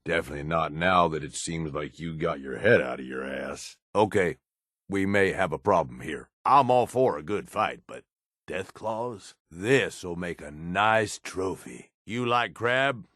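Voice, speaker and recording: An actor playing a roguish, cynical but loyal raider in a video game, with a folksy drawl. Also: male voice, low voice, expressive, measured pace, fairly bright tone, no echo, studio quality, exaggerated voice. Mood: relaxed, teasing, then wry, cocky. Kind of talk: video game companion dialogue.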